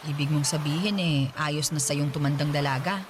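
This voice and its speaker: A woman with a Filipino accent playing a protective, teasing, expressive widowed mother in a Tagalog radio drama. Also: sits low, moderate pace, noisy background, natural voice. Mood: concerned, teasing. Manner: expressive, motherly, half-joking menace.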